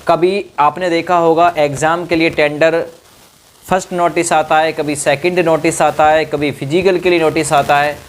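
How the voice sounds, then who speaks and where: A man's voice: a little high, flat delivery, normal speed. A man giving an informal explainer to students, repeating phrases for emphasis and checking in with short tag questions. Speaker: exam-news presenter. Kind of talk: informal explainer addressed to exam candidates.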